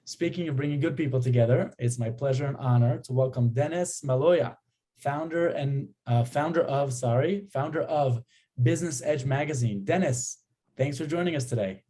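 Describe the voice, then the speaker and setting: Guy hosting a business network interview, really mellow relaxed voice, kind of presenting. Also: medium pitch, moderate pace. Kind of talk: welcoming scripted introduction and interview.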